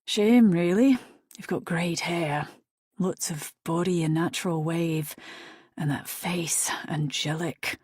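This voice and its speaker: A woman's voice: lowish voice, takes it slowly, darkish tone, slightly rough, dry recording, studio quality. A woman voicing a cheeky, flamboyant male hair stylist with a British accent and a teasing, theatrical manner.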